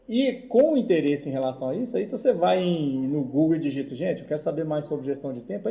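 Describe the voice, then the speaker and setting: A Brazilian man co-hosting a podcast from home, explaining ideas in a relaxed way and repeating words as he thinks. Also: a little high. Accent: Brazilian Portuguese. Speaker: podcast co-host. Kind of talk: casual podcast discussion.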